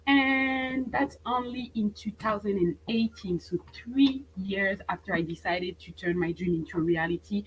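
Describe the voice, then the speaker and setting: A woman's voice: medium pitch, measured pace, few pauses, slight background noise, poor audio. A thirty-year-old woman, a business coach giving a motivational webinar and repeating phrases for emphasis. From France.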